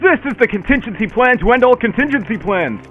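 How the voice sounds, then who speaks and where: A man's voice: extremely high pitch, lively intonation, brisk, phone-quality sound, faint music behind. A man voicing a megalomaniac mad scientist villain who lectures in a grandiose, sneering way, for a fan comic dub. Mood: scornful lecturing.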